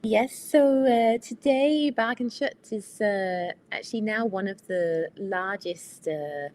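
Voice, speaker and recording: A woman from the UK, managing director of a venue, speaking on a video call from a former factory hall, steady and informative with many fillers. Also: medium pitch, slow pace. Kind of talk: guest explaining on a webinar.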